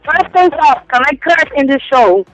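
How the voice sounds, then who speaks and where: A woman's voice: high voice, lively intonation, moderate pace, a bit bright, soft background music. A young woman from Cuba with a Spanish accent, talking frankly and giggling as a phone-in guest on a casual radio interview. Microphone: telephone. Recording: dull lo-fi phone line.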